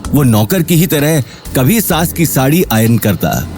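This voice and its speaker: उतार-चढ़ाव भरी आवाज़ वाला पुरुष कथावाचक. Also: male voice, on the high side, big pitch swings, a little slow, a little mellow, faint music behind. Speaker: narrator. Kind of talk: dramatic scripted story narration.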